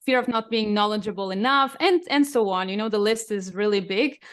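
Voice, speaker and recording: A woman's voice: mid-range voice, a little fast, clean tone. A woman from Portugal, Portuguese as her first language, speaking as a guest on a podcast in enthusiastic casual chat. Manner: enthusiastic, laughs often.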